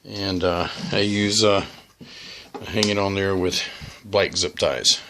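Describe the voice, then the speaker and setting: A man with a fairly low voice and a bright, forceful edge talks through a hands-on hobby demonstration. His delivery is casual and halting, with plain-spoken words of caution and practical advice. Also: fairly even intonation, slight background noise. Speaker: amateur radio hobbyist presenting a how-to video.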